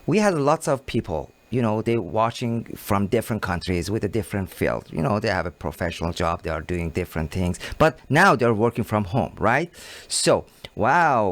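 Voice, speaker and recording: A man hosting a live call-in show, speaking halting English and repeating short phrases like "very good" and "thank you.". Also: fairly low pitch, expressive, dry recording.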